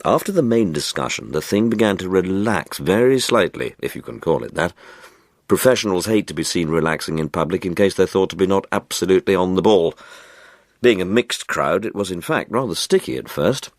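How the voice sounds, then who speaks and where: A man with a deep voice reads a short story in a measured, wry way. His pitch moves freely as he tells it. The sound is dry and intimate, with no room around it. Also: animated, no room sound. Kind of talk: literary audiobook narration with character voices.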